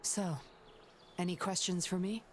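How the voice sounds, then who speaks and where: A woman playing a composed, sly undercover patroller in a video game, coolly confident and measured. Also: on the low side, steady tone, slight background noise, lightly acted. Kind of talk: scripted video game voice acting. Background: game music.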